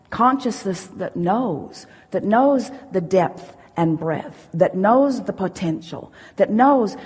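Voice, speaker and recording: Long hall reverb surrounds a woman with a husky, breathy edge to her mid-pitched voice. She speaks as a spiritual teacher in an emphatic, deliberate style, building motivational points through repeated phrases. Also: darkish tone. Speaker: spiritual teacher answering audience questions.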